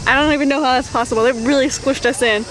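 A young woman in her twenties chatting in an animated, bubbly way as a travel vlogger, talking to a camera held at arm's length on a riverbank outdoors. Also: high-pitched, quick pace, low-quality recording. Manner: bubbly and animated, with gasps and laughs. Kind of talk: casual chat.

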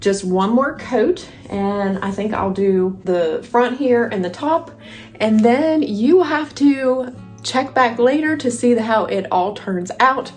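A woman's voice: fairly high pitch, slow, a little mellow. A woman in her thirties chatting casually and encouragingly to viewers of her home vlog, recorded indoors at home. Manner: encouraging, addresses viewers directly. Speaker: home decor and lifestyle vlogger. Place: rooms of a house, indoors. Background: background music.

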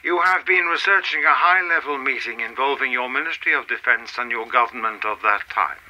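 A man playing a mysterious, coldly formal messenger in a radio play, speaking in a measured, cryptic way. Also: mid-range voice, pushed and bright, lo-fi sound.

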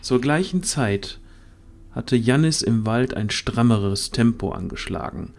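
A man's voice: medium pitch, lively intonation, a little slow, gravelly. A man reading dramatic scripted narration for a fantasy audio drama.

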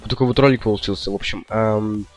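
A man's voice: fairly low pitch, steady tone, quick pace, soft background music, rough recording. A young man giving casual gameplay commentary, rambling indecisively and slangily to his viewers. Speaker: gaming video host.

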